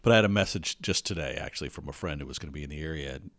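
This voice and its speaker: A man's voice: a bass voice, rapid-fire, creaky, fairly bright tone, no echo. A man hosting a podcast, talking casually as he gets over a cold. He rambles and stumbles over his words, often repeating himself. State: recovering from a cold. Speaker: podcast host. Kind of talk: casual solo podcast talk.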